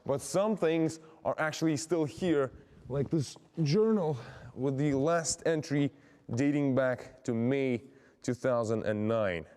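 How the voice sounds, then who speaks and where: A man's voice: medium pitch, slow, a little mellow. A man delivers a news report to camera. His mid-range voice rings with long reverb inside an empty building.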